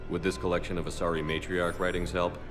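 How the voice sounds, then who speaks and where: A man playing a deep, deadpan soldier commander. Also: fast talker, music in the background, lightly acted. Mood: steady. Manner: calm, dry and deadpan. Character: steady, dry human soldier commander. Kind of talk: video game cutscene voice acting.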